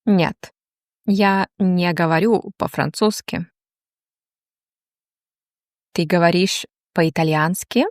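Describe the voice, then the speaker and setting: A woman teaching a language, reading slow, deliberate question-and-answer drills with long pauses. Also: fairly low pitch, no room sound, studio quality.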